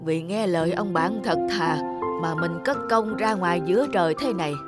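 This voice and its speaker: A sly, fat old neighbour from a cartoon folktale acted out by a woman. He is lazy and grumbles, scheming and blustering over a clear music bed. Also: on the low side, normal speed, lightly acted. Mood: grumbling. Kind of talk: cartoon folktale character acting.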